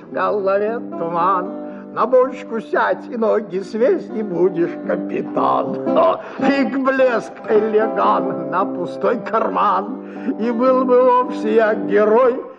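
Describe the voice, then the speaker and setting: Boastful tipsy officer, unhurried drawl, creaky edge, radio play, effusive, slightly muffled with guitar music. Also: male voice, high voice, exaggerated voice. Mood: tipsy singing. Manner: theatrical, effusive, chuckling and drawling. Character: tipsy, boastful middle-aged army officer, wheedling and blustering. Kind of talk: dramatized radio short story, partly sung.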